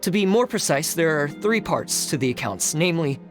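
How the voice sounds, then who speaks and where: A man's voice: a little high, animated, a little fast, lightly acted. A man playing a timid, awkward, meticulous civil servant in a video game, hesitant and pedantically precise. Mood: nervous. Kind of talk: video game character acting. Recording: game music playing faintly behind.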